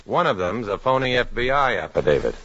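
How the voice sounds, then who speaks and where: An actor playing a grim, lonely, hard-boiled undercover agent in a 1950s radio drama. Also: male voice, mid-range voice, big pitch swings, fairly quick, fairly bright tone. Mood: urgent, clipped. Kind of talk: 1950s radio drama acting, first-person narration. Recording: muffled, noisy 1950s radio recording.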